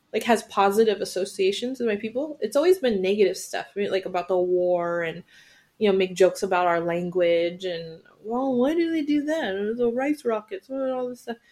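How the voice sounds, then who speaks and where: A woman hosting a casual podcast conversation. She asks playful, probing follow-up questions in an easygoing, middle-pitched voice. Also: darkish tone. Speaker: podcast host.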